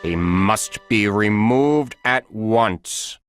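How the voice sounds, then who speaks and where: Man playing a haughty, very slow-spoken king. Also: on the low side, fairly bright tone, clear, resonant tone, faint music behind. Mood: haughty, displeased. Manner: slow, measured. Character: haughty, displeased storybook king.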